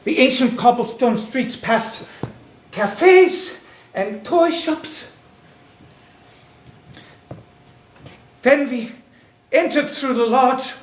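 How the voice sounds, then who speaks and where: An actor in a stage play playing a devout, wistful imprisoned man in love, speaking in a dreamy, dramatic storytelling manner. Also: very high voice. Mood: awed, reverent. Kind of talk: theatrical stage-play monologue and dialogue. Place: stage, recorded with room echo. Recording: echoey stage with background noise and clicks.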